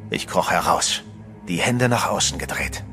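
A man narrating in first person in a German horror radio play, tense and measured. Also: low voice, expressive, pushed and bright, soft background music.

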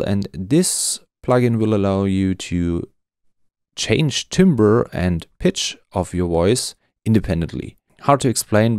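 Deep gravelly guy explaining stuff step by step, screencast tutorial. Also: darkish tone, no echo, studio quality. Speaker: tutorial presenter.

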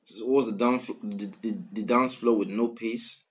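A man's voice: fairly low pitch, steady tone, moderate pace. Slangy Nigerian man reacting over music. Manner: repeats and paraphrases lyrics, slangy. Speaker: reaction video host. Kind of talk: casual commentary on songs. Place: small room at home, indoors. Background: music playing.

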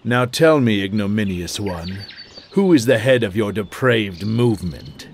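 An actor playing a stern, pious necromancer lord in a fantasy game, with pompous, measured, archaic speech. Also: male voice, fairly low pitch, lively intonation, slight background noise. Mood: menacing, haughty. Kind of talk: dramatic fantasy game dialogue. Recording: loud music under the voice.

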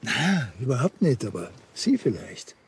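An actor playing a grumbly, deadpan policeman in a comic radio drama. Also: medium pitch, measured pace, background noise.

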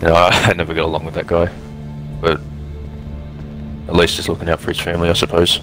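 A man's voice: sits low, monotone, quick pace, over music, close to an everyday voice, rough recording. A man playing a bitter, grieving apocalypse survivor, blunt and downcast, in an improvised game roleplay. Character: bitter, grieving young male apocalypse survivor seeking his brother.

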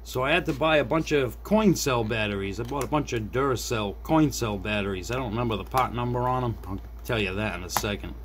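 A man's voice: medium pitch, brisk, hardly any pauses. A middle-aged man giving a casual, unscripted repair demonstration, thinking aloud to viewers as he works at a desk indoors. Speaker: hobbyist repair video maker. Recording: background noise, room ambience.

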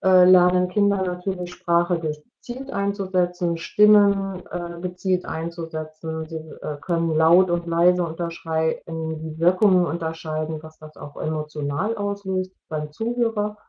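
A middle-aged woman giving an explanatory online presentation in a halting way, searching for words. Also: on the low side, fairly even intonation. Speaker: media educator presenting at an online parents' evening. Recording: dull, lo-fi video-call audio.

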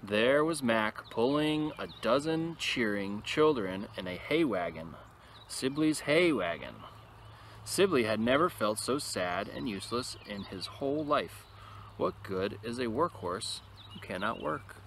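A man reading a picture book aloud expressively to young listeners, with casual, quieter asides, recorded outdoors. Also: medium pitch, animated, a little slow. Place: outdoors in an open field. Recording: noisy outdoor recording with ambient sound.

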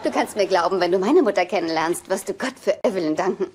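An actress playing a sincere, firm fiancée in dubbed sitcom dialogue. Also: female voice, lowish voice, animated, quick pace, lightly acted. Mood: exasperated. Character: sincere, exasperated fiancée defending her future mother-in-law. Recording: noisy TV soundtrack.

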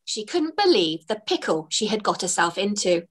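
A woman's voice: medium pitch, moderate pace, slightly rough. A woman, an author reading her children's book aloud expressively, over a webcam from a home room. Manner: expressive, storytelling. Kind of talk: children's read-aloud narration. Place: home room with bookshelves, indoors.

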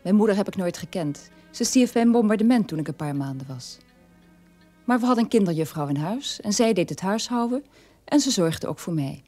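Husky, low-voiced middle-aged woman, reflective. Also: darkish tone. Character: sober middle-aged daughter of a Nazi war criminal. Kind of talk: dramatic monologue in a Dutch radio play.

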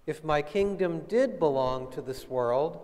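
A man reading the part of a calm, unyielding holy prisoner, solemn and measured, in a scripture reading. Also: on the high side. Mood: solemn, firm. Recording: long echoing hall reverb.

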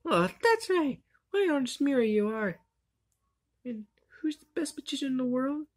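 A woman's voice: sits high, animated, normal speed. A woman voicing a vain, hot-tempered rival sorceress in an amateur anime fandub, haughty and theatrical.

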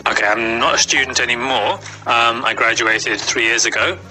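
A man's voice: mid-range voice, steady tone, normal speed, music in the background, rough recording. A young man from Wales, answering interview questions patiently in voice messages. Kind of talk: voice message replies.

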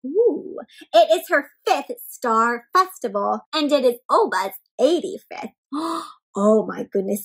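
Young lady doing kids story time, high voice, super expressive, asks lots of questions. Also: husky, low-quality recording. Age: young adult. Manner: animated, expressive, addresses children with frequent questions. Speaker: children's story-time host. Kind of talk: interactive read-aloud for young children. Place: small indoor room at home with bookshelves and plants.

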